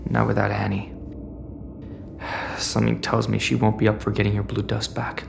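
A man's voice: on the low side, monotone, normal speed, gravelly, noisy background. Anxious teenage boy. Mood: uneasy, pleading. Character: Max, stranded teenage boy, anxious, wounded and distrustful. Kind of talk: sci-fi audio drama acting.

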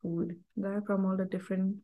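A woman's voice: medium pitch, moderate pace, phone-quality sound. Chatty woman. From Malaysia. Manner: chatty and animated, heavy use of "like". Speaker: guest. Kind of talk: casual conversational chat.

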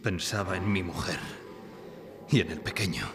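An actor playing a tense, brooding young submarine officer in a live radio drama. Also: male voice, deep voice, expressive, normal speed. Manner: tense, haunted. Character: strained, brooding young naval second-in-command. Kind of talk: live radio theatre acting. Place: live stage in a library before an audience. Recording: echoing hall, loud background noise.

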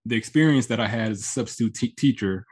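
A man's voice: on the low side, fast, a little mellow, breathy edge. A man hosting an interview podcast, affirming his guest and restarting his sentences as he asks questions. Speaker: podcast host. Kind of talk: casual interview conversation.

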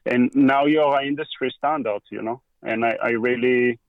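A man from Israel speaking English with an Israeli accent in a casual interview, talking slowly with many pauses and restarts. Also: medium pitch, fairly even intonation, dry recording, phone-quality sound. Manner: halting, with frequent pauses and restarts. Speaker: guest. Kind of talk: casual conversation.

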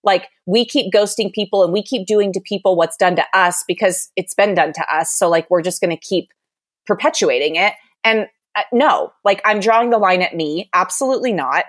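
A woman's voice: mid-range voice, normal speed, no room sound. A young adult woman telling a candid, exasperated dating story with lots of "like" in a casual chat.

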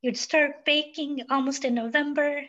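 Swedish-raised woman guest, high voice, reflective, lots of pauses, muffled lo-fi audio. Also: fairly even intonation, a bit bright. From Sweden. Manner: thoughtful, many um fillers. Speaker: podcast guest. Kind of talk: reflective interview talk.

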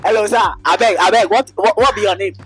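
A man putting on a loud, insistent Nigerian man who keeps demanding a stranger's name, in a Nigerian Pidgin accent. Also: high voice, brisk, faint music behind, pitched above the performer's own voice. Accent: Nigerian Pidgin, put on.

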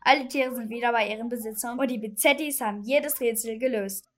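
Woman as a confident, high-pitched child detective. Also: steady tone, fairly quick, a bit bright, natural voice. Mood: satisfied. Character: confident, supportive child detective summing up cases. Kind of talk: children's audio drama acting.

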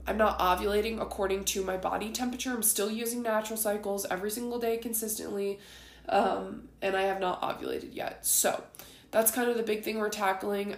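Woman, 20s, podcast host: raspy, gravelly, rambling and candid, recorded in an echoey room. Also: medium pitch. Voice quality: raspy and groggy. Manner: candid, rambling, with frequent ums and likes. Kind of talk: casual solo ramble.

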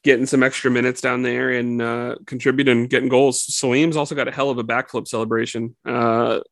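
Gravelly guy on a soccer podcast, laid back, lots of uhs, dry sound. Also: medium pitch, brisk, no room sound. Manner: relaxed, laughs often, many fillers. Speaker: podcast co-host. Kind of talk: casual sports chat.

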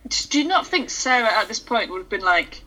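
A woman's voice: medium pitch, sing-song, fairly quick, low-quality recording. A woman in her thirties musing thoughtfully in a casual chat about a film. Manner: thoughtful, muses out loud.

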